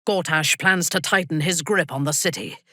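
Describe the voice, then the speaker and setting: A woman playing a haughty, ruthless dark elf warrior in a video game, speaking in an imperious, deliberate, measured way. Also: deep voice, no echo, clean studio sound. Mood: cool, measured. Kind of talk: dramatic video game character acting.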